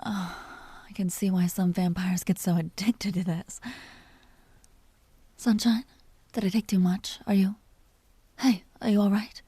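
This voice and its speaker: A woman playing a seductive, possessive vampire duchess in an intimate audio roleplay, teasing and genteel in a breathy whisper. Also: mid-range voice, steady tone, dark tone, no echo. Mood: sated, then concerned. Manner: slow, teasing, genteel and commanding.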